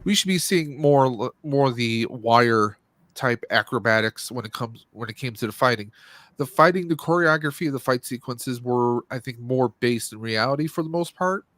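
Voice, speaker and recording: A man's voice: mid-range voice, animated, a bit bright, no room sound. Rambling man chatting casually about movies, dry sound. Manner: rambling, halting, searching for words. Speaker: live stream co-host reviewing movies. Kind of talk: casual chat, movie commentary.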